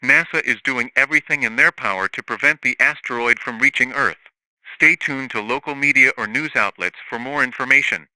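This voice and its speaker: A man's voice: mid-range voice, brisk, pushed and bright, no echo, low-quality recording. A man voicing an emergency alert system announcer, formal and impersonal, reading a scripted warning. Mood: grave, official. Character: emergency alert system announcer, flat official adult male.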